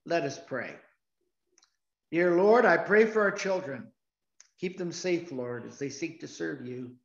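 Slow, earnest pastor in a roomy church. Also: male voice, fairly high pitch, expressive, vocal fry. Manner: slow, halting, earnest with many restarts. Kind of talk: sermon. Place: church sanctuary. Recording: roomy, dull church recording.

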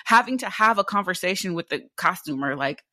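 A woman in her twenties speaks in a deep, low alto, her pitch sitting near the bottom of the range. She talks candidly and with animation, firing off rhetorical questions, and the sound is dry and close with no room echo. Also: animated, a little fast, pushed and bright. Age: 20s. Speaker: interview guest, theatre actor. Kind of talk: casual interview conversation.

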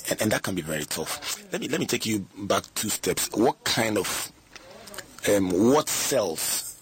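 Low-voiced man hosting a radio talk show. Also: sing-song, a little slow, slightly rough. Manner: probing questions, finishes guests' sentences. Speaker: radio host.

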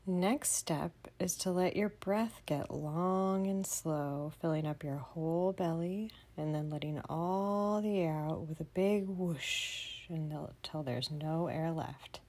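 Soft mellow woman doing a kids sleep meditation, really slow, gentle and half whispering. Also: deep voice. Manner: soothing, gentle, often breathy near-whisper. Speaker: children's meditation guide. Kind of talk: guided bedtime meditation for kids.

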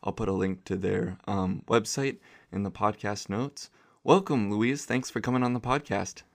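Gravelly low-voiced podcast host. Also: male voice, fairly even intonation, normal speed. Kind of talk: podcast interview.

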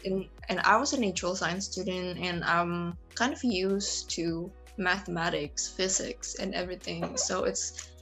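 A woman's voice: lowish voice, steady tone, a little slow, a bit bright, faint music behind. A young woman from Indonesia, speaking English as a second language in a casual interview, with many fillers and restarts. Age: young adult. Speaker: interview guest, computer science student.

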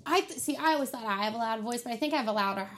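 Cursing, excitable young woman host. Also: on the high side, very fast, a little hiss or hum. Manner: profane, ranting, excitable. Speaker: podcast host. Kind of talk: casual podcast chat. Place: kitchen at home.

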